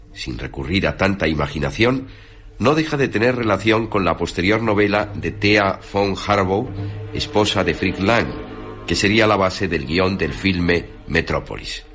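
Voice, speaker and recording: A man's voice: low-pitched, a bit bright. A man reading scripted literary commentary as a radio narrator.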